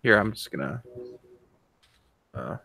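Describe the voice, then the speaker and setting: Creaky-voiced man, casual and tentative. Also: fairly low pitch, very animated, takes it slowly, pushed and bright, no room sound, soft background music. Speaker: stream participant. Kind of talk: casual chat.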